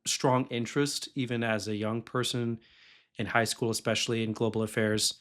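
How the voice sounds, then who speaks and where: A man from the States, co-hosting a podcast in a friendly, thoughtful, measured way. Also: mid-range voice, flat delivery, fairly bright tone. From Kansas City, Missouri, USA. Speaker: resident scholar, co-host. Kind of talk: casual podcast chat.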